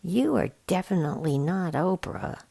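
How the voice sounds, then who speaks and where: A skeptical young heroine from a children's storybook, voiced by a woman reader. She sounds impatient and drily sardonic, with a creaky edge to the voice. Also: fairly low pitch, expressive, normal speed, warm tone, no echo, studio quality. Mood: exasperated.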